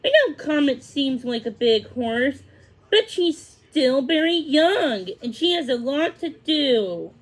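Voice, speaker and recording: A woman voicing a stern, motherly sheep nanny in a children's storybook, gently scolding in a measured way. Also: sits high, big pitch swings, full character voice. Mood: disappointed. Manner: admonishing, measured. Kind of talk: children's storybook read-aloud.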